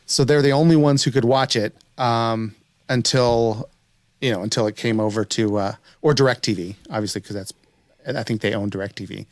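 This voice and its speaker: A man's voice: mid-range voice, fairly even intonation, normal speed, dry recording, studio quality. A man co-hosting a podcast, chatting casually about shows in a conversational way. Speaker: podcast co-host.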